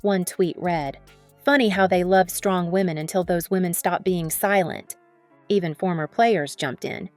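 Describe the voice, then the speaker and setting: A woman's voice: lowish voice, fairly even intonation, a little slow, dry recording, faint music behind. A woman delivering a dramatic, scripted sports news narration.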